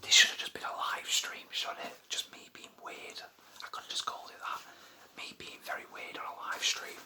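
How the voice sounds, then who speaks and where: A man whispering an ASMR vlog at home at night, rambling and mocking himself. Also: normal speed. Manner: rambling, self-mocking, playful. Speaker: ASMR vlogger.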